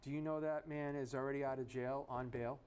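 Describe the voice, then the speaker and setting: A man's voice: mid-range voice, fairly even intonation, brisk, darkish tone, clean tone. A man co-hosting a casual political chat, voicing strong opinions and throwing out rhetorical questions. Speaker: talk show co-host.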